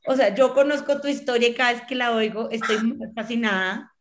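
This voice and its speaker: A woman's voice: fairly high pitch, fairly quick, a little hiss or hum. A woman co-hosting a live webinar over a video call, talking in an upbeat, chatty way. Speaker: co-host.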